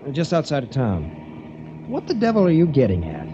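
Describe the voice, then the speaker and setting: An actor playing a nervous younger man in a 1940s radio drama, quick and rising. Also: a little high, huge pitch swings. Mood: curious. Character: anxious younger man, friend and driver. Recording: hissy lo-fi old radio transcription.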